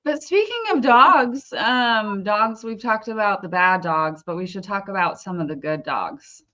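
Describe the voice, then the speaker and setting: Slightly lo-fi, dulled audio carries a woman's bright, forward voice at an average pitch. She co-hosts a sports podcast and talks in a casual, conversational way. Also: expressive, measured pace. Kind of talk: casual baseball chat.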